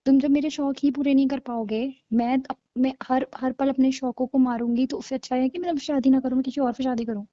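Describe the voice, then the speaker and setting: Woman doing a young gf dumping her guy, high soft-toned voice, cold n argumentative, dry kinda lofi audio drama. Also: fairly even intonation, fairly quick, few pauses, no room sound, highs cut off, close to an everyday voice. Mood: scornful. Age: young adult. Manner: blunt, dismissive, argumentative. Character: cold, scornful young girlfriend breaking up with her boyfriend. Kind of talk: naturalistic audio drama of a couple's call.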